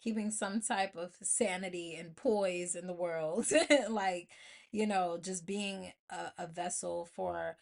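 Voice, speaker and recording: A woman's voice: on the low side, fairly even intonation, takes it slowly, vocal fry. An American woman hosting an informal motivational podcast solo, speaking colloquially and emphatically, repeating phrases to drive them home. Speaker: podcast host.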